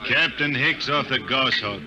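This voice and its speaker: A man's voice: medium pitch, unhurried, natural voice. An actor playing a roguish, hard-drinking jetman and singer in a 1950s radio drama, with a drawling, irreverent rural American delivery. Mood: folksy, wry. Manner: drawling, folksy, sly, irreverent. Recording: old 1950s radio recording with music.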